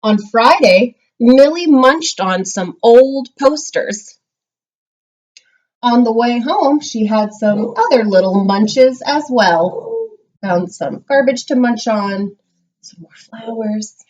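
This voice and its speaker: A woman teacher giving a read-aloud lesson to young children, explaining simply and reading with expressive emphasis. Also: fairly high pitch, slow pace, poor audio. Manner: instructional, simple explanations with check-ins. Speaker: primary school reading teacher.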